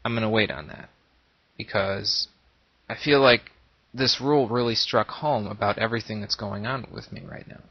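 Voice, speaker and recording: A man's voice: on the low side, normal speed. A man with a slightly nasal voice, as if coming down with a cold, talking earnestly and reflectively in a casual, unscripted monologue as an online gaming video creator. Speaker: YouTube Let's Play creator. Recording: boxy echoing room, dull lo-fi microphone.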